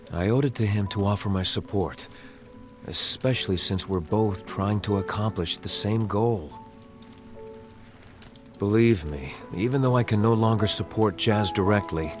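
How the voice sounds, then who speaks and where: An actor playing a calm, sincere young prince in a game cutscene, speaking in a measured, formal, soft-spoken way. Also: male voice, on the low side, lightly acted. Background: game soundtrack music.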